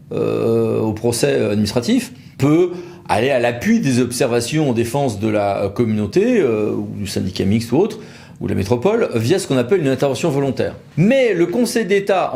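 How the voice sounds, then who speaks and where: A man's voice: medium pitch, gravelly, warm tone. A man presenting as a legal expert, explaining in an informal, conversational way with wry, colloquial asides. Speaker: legal expert from a law firm presenting a video.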